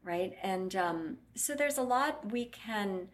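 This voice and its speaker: A woman's voice: mid-range voice, expressive, slow pace. Blunt, explanatory woman clinician. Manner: blunt, explanatory, acts out patient quotes. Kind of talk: interview answers.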